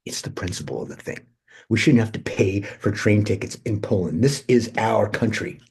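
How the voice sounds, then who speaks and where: A man's voice: fairly low pitch, normal speed, vocal fry, darkish tone, slightly rough, exaggerated voice. A man acting a brash, restless, irreverent young slacker in a casual, naturalistic table read. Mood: indignant. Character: brash, restless, fast-talking slacker cousin, scheming and irreverent.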